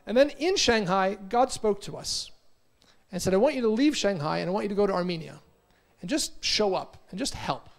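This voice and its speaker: An American man in his forties giving an energetic motivational conference talk, telling stories and often repeating words. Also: sits high, a little fast, creaky. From United States. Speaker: conference speaker. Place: conference hall.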